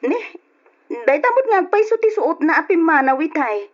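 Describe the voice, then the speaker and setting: Through a dull, lo-fi radio recording comes an anxious, dutiful wife with an Ilocano Filipino accent. Her soft-spoken doubt swings widely in pitch, in old-fashioned radio drama style. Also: big pitch swings, moderate pace. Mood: puzzled, uneasy.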